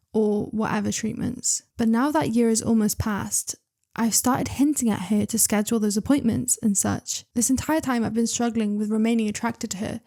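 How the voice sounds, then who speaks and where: Young woman story reader with a slightly high voice, chatty and excitable, full of asides. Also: creaky. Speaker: reader and channel host. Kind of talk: reading online stories aloud with commentary.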